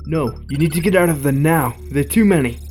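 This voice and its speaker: A woman voicing a calm, grave mission guide in an amateur animated dub, serious and cautioning. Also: low-pitched, fast talker, loud music behind the voice. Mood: grave warning.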